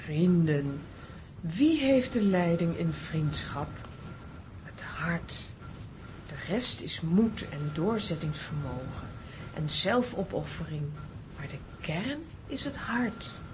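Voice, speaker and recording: A benevolent earth goddess in a children's radio play. She speaks solemnly and formally, slow and somewhat low, with faint noise behind. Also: animated. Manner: stately, measured, formal. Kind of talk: children's audio drama.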